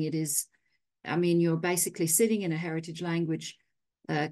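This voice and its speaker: A woman's voice: low voice, darkish tone. A woman on an online panel, giving a thoughtful unscripted answer as a researcher. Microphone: video call.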